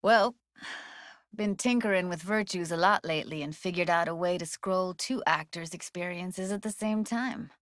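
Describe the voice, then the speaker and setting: A woman's voice: fairly low pitch, normal speed, no room sound, clean studio sound, close to an everyday voice. A woman playing a blunt, guarded but tender young techie in a video game, speaking in casual, clipped slang with a natural delivery. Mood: easygoing, playful.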